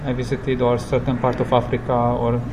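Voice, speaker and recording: A man who speaks English as a non-native language, telling travel stories as a guest in a casual interview, in simple, halting, reflective phrases. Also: mid-range voice, monotone. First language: not English. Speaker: interview guest, long-term hitchhiking traveller. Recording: clear background ambient noise.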